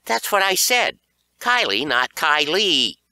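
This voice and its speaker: A man's voice: fairly high pitch, big pitch swings, slow, sharp tone, smooth, no echo, voice pushed up, exaggerated voice. A man voicing a smart-mouthed, whiny young schoolboy in a children's audiobook. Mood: cheeky. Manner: cheeky, whiny, defensive.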